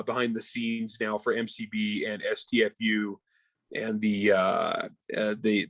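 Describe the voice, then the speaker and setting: A man's voice: on the low side, a bit bright, no room sound. A man speaking as an interviewed author in an enthusiastic, informal, slangy way, in casual conversation.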